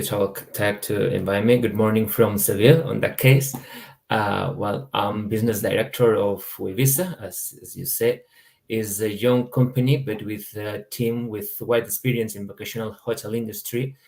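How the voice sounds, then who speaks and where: A man, a business director, introducing his company on an online panel in earnest, slightly halting phrasing. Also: lowish voice, darkish tone.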